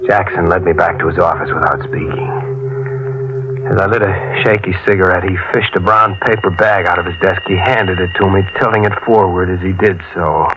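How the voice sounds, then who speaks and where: A man's voice: deep voice, fairly even intonation, very noisy, lightly acted. A man acting a haunted, intense young newspaper reporter who narrates in 1950s radio noir style. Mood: brooding. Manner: brooding, intense, halting when moved. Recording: dull old radio recording, music behind.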